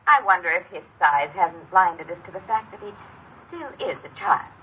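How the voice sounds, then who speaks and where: A woman's voice: on the low side, animated, moderate pace, lightly acted. An actress playing an anxious, emotionally distant middle-aged mother with a clipped, formal manner in an old radio crime drama. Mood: worried, doubting. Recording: muffled, noisy old radio recording.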